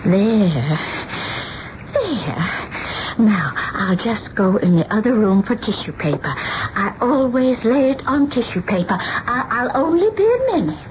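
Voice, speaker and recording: An actress playing a fussy, doting older seamstress in a radio drama, halting and repeating her words. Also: fairly high pitch, sing-song. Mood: fussy, fluttering. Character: fluttery, eccentric, doting older spinster dressmaker. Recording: dull, slightly noisy 1970s radio recording.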